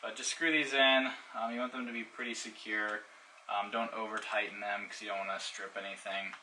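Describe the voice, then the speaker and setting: A man's voice: lowish voice, level tone, brisk, sharp tone, slight background noise, poor audio. A man giving a casual hands-on product demonstration in an informal, explanatory way. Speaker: product reviewer.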